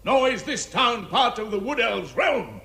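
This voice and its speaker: A proud dwarf king, declaiming slowly and grandly as in a radio play. The voice is pitched very high and rings out bright and forceful, claiming royal authority. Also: very animated, echoey room, exaggerated voice. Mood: proud, commanding. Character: proud, imperious male dwarf king in exile. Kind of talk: radio drama acting.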